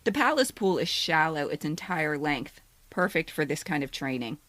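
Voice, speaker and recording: Low-voiced woman in her fifties, dry close sound. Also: big pitch swings, moderate pace, fairly bright tone, dry recording. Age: 50s. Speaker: podcast co-host and essayist. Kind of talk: scripted personal essay narration, then casual banter.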